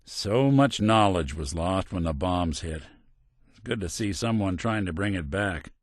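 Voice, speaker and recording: A man's voice: low-pitched, lively intonation, a little slow, no echo, exaggerated voice. A man voicing a wry, world-weary old synthetic detective in a video game, with a hard-boiled American drawl and dry sarcasm. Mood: musing, wry. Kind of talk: video game character acting, noir detective.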